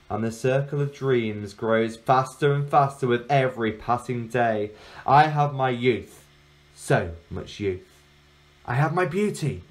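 A man's voice: mid-range voice, close to an everyday voice. A man reading a storybook, voicing an ancient enchantress who looks like a young girl, imperious and falsely innocent, drawing out her words. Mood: boastful.

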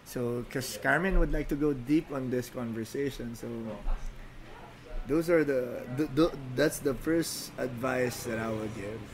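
A man from the Philippines, speaking as a non-native speaker, talks casually as a podcast guest, halting with many restarts and fillers. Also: medium pitch, rough recording. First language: Filipino languages. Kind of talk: casual chat.

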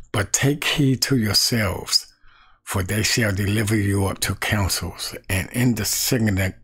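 A middle-aged man with a low, gravelly and husky voice reads scripture and talks it through, slowly and with care. He often repeats a phrase, sometimes trailing off into a musing murmur. He records himself on a desk camera in a small room at home. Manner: slow, deliberate, repeats key phrases, quiet and musing. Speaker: street evangelist. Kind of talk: Bible teaching to camera.

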